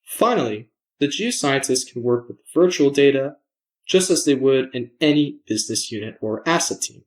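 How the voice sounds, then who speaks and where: A man presenting a software demo in scripted narration, measured and explanatory, enunciating his words. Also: medium pitch, lively intonation, rough recording.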